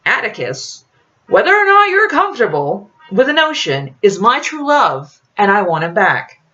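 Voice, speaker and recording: A roguish storybook man, acted by a woman, talks his way along with flippant, pleading exasperation. The pitch jumps up and swings about. The sound is slightly dull. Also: big pitch swings, moderate pace, pushed and bright. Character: smooth-talking, pleading male rogue, notorious crime lord. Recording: dull lo-fi audio.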